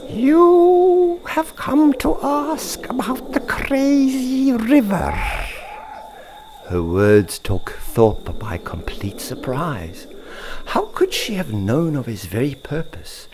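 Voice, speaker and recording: Guy reading a kids story, really slow and theatrical, pitch swings around a lot, bit noisy. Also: darkish tone. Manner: theatrical, lingering on alliterative words. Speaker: author and storyteller. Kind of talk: expressive children's story narration.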